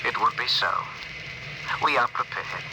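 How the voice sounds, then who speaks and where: An actor playing a calm, formal alien envoy with measured, stilted phrasing in a 1950s radio drama. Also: male voice, medium pitch, natural voice. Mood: grave, formal. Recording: noisy vintage 1950s radio broadcast.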